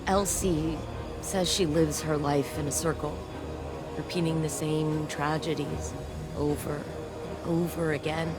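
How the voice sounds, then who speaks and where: Low-voiced, troubled woman scientist. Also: fairly even intonation, measured pace, over loud music. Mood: troubled, regretful. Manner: reflective, hesitant, earnest. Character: troubled, guilt-ridden woman scientist, sincere and weary. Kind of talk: video game dramatic monologue.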